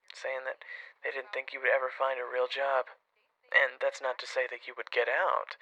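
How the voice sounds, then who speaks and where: A man acts out a nervous ex-criminal father in an audio drama phone call. His voice is mid-pitched and strikingly sharp, recorded close and dry. He hesitates and sighs, sounding anxious and pleading. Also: dry recording. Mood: sheepish. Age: adult father of young children. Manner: hesitant, sighing, halting. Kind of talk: audio roleplay acting, phone conversation.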